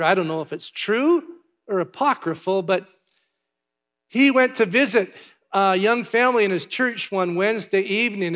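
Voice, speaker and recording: A pastor from Canada giving church announcements and a sermon in a chatty way, with asides and filler words. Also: male voice, sits high, a bit bright. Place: large church hall. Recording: long hall reverb.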